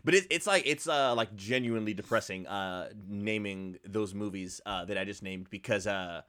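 A man co-hosting a podcast, casually riffing on movie trivia in a joking, animated way with some swearing. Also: lowish voice, normal speed. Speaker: podcast co-host.

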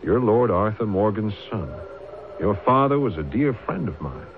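Radio drama: older male statesman type, low-pitched, paternal, measured and formal. Thin, phone-like vintage sound. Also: big pitch swings, music in the background. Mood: earnest, fatherly. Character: Mister Jefferson, genial, fatherly older gentleman of standing, peacemaker. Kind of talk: old-time radio drama acting. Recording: muffled, noisy old radio drama recording.

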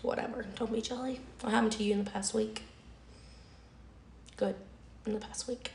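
A young woman with a raspy, hoarse voice from a lingering cold chats away on a podcast and steers the talk. Her tone has a gravelly fry in it. The room around her rings with echo. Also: a little high, steady tone, moderate pace, a little hiss or hum. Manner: talkative, chatty, leads the conversation. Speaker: podcast co-host. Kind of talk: casual chat. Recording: echoey room.

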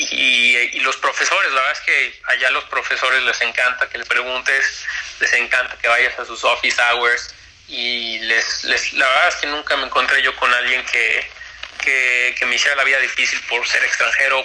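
A man's voice: medium pitch, bright, forceful tone, rough recording. A young man talks casually as an interview guest about college tennis, with many fillers and restarts.

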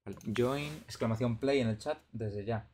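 A man's voice: medium pitch, moderate pace, darkish tone, slight background noise. A man with a Madrid Spanish accent, hosting a casual live stream and commentating on a game in a bemused, questioning way. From Madrid area, Spain. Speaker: podcast host and streamer.

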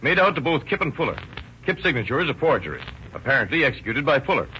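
Fast-talking deputy, bright voice, old hissy radio. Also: male voice, mid-range voice, very animated. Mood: urgent. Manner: quick, emphatic. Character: eager lawman with evidence. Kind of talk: 1930s radio drama acting. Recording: dull old radio recording with hiss.

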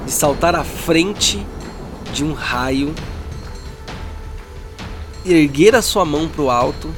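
A man with a Brazilian accent working as game master, narrating a tabletop role-playing story in a dramatic, deliberate way with pauses. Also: fairly high pitch, lively intonation. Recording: music bed behind the voice. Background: background music.

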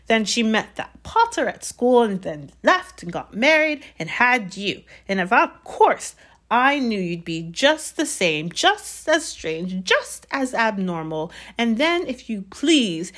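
Shrill, spiteful storybook aunt, acted by a woman. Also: sing-song, takes it slowly, fairly bright tone, heavily acted. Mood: spiteful ranting. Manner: shrieking, ranting, resentful. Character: bitter, shrill, spiteful middle-aged aunt. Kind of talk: storybook character voice.

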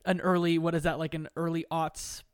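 A woman's voice: sits low, little pitch movement, brisk, darkish tone, smooth, dry recording. Casual woman chatting, very dry sound. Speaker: podcast guest. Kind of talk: casual chat.

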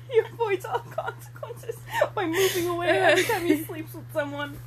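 A young woman co-hosting a casual, joking read-aloud of amateur fiction, excitable and giggly, swearing freely as she comments. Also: very high-pitched, normal speed, a crowd in the background. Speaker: co-host doing a dramatic reading.

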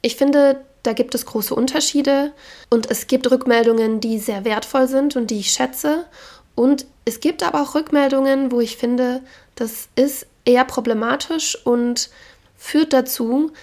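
Woman with a cold, hoarse, fairly high voice, frank casual podcast talk. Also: unhurried, darkish tone. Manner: frank, opinionated. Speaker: podcast host.

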